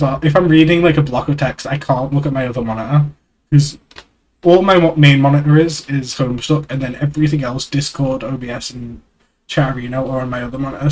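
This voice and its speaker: A man's voice: medium pitch, a little mellow, poor audio. A congested man streaming casually, reading a webcomic aloud and chatting in a rambling, self-deprecating way with casual swearing, on an external desk mic. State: congested, stuffy nose.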